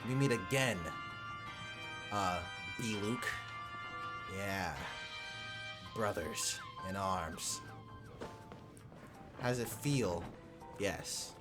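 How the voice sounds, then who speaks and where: A man's voice: on the low side, very slow, long pauses, over loud music. A young man giving casual gameplay commentary, slangy and reactive with mock outrage. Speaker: gaming video creator.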